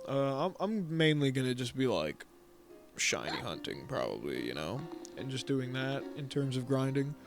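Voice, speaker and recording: A man's voice: mid-range voice, very animated, normal speed, over music. A man hosting a let's play, giving casual, rambling commentary over a game. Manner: laid-back and rambling, with many fillers.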